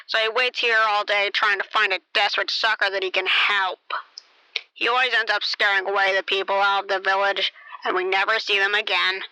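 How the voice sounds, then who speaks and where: A woman's voice: mid-range voice, pushed and bright, clean tone, no echo, low-quality recording. A woman playing a jaded, gossipy clinic receptionist in an amateur radio play, blunt, dismissive and matter-of-fact.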